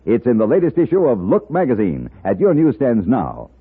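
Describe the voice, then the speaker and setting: A male 1950s radio announcer with a medium-pitched, very animated delivery. He reads scripted copy dramatically and emphatically through a tinny, narrow-band broadcast. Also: moderate pace, a little hiss or hum.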